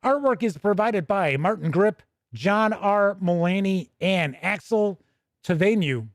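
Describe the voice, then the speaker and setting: Higher-pitched American man, casual streamer. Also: expressive, a little slow, dry recording, studio quality. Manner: chatty, conversational, with playful asides. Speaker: host of a tabletop gaming show. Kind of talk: casual livestream commentary.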